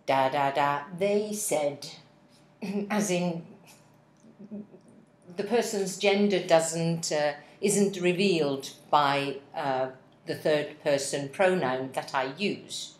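Slow low-voiced Finnish woman, hesitant. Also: reverberant, low-quality recording. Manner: hesitant, many ums and restarts, spells out words. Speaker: practice speech giver for interpreters. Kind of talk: speech for interpreting practice. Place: office meeting room with window blinds.

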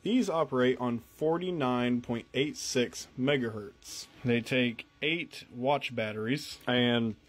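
A man's voice: medium pitch, slow, few pauses. A man talking casually through a hands-on product review in a wry, matter-of-fact way.

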